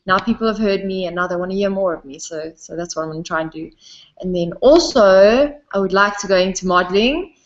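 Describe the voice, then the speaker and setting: A young woman with a South African accent chats easily in an interview, breaking into small laughs as she talks. Her voice sits at a middle pitch, and the recording sounds a little dull and lo-fi. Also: a little fast. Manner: giggly, relaxed, often laughs between sentences. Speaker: interviewee, singer. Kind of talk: casual interview chat.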